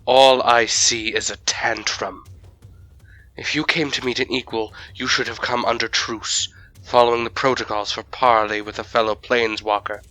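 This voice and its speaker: An actor playing a haughty, impatient ancient vampire lord in an audio drama, speaking in a formal, measured, disdainful way. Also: male voice, medium pitch, flat delivery, pushed and bright, lightly acted, rough recording. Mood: haughty, scolding.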